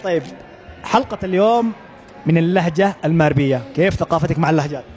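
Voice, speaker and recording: A man with a Yemeni accent interviewing passersby in the street with brisk, upbeat questions. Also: on the high side, lively intonation, a little mellow, faint music behind, some chatter behind. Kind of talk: street vox pop interviews.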